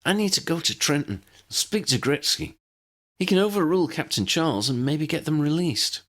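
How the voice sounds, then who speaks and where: A man voicing a composed, reasonable woman government agent in an audiobook, calm and explanatory. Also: a little high, moderate pace, pitched above the performer's own voice. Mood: polite, purposeful.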